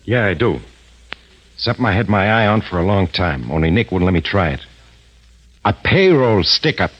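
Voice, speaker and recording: Low-voiced tough gangster henchman. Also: lively intonation, normal speed, breathy edge. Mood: eager. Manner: slangy tough-guy talk. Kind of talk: 1950s radio drama acting. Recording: muffled, noisy vintage radio recording.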